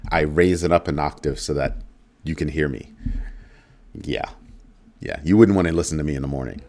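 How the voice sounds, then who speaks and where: A man with a very low, deep voice hosts a casual crypto livestream. He is middle-aged and talks loosely to his viewers, repeating phrases and tossing in playful asides. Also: moderate pace, a little mellow. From United States. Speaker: crypto show host.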